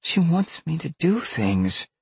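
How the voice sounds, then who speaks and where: A man's voice: a little high, sing-song, takes it slowly, vocal fry, husky, no echo, pitched above the performer's own voice, full character voice. A man voicing an upset, defiant young female fox shapeshifter in an audiobook, pleading and protesting. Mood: distressed. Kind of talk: audiobook character acting.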